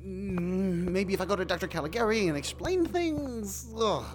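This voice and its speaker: An actor playing a nervous young hacker who frets and gets exasperated. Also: male voice, expressive, normal speed, slight background noise. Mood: planning. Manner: fretful, exasperated, rising to squeaks. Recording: background music.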